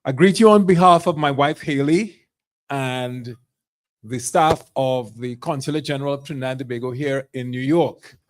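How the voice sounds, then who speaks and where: A man and career diplomat giving a keynote speech at a charity evening, speaking in a halting way with repeated words. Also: medium pitch. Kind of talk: speech at an event.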